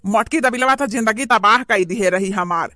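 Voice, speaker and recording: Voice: medium pitch, moderate pace, bright, forceful tone, dry recording, clean studio sound, natural voice. An actor voicing a boastful, filmy cartoon village husband who woos in a swaggering way with an Awadhi accent. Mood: flirty reassurance. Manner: swaggering, breaks into crooning. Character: filmy, boastful village husband wooing his new wife.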